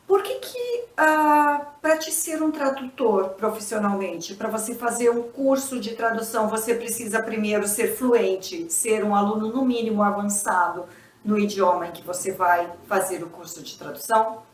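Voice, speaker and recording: A woman's voice: medium pitch, normal speed, far from the mic. Woman teacher in an echoey room. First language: Portuguese. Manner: didactic, checks in with frequent tag questions. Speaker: English teacher. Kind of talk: explanatory video lesson. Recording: echoey room, voice a bit off-mic.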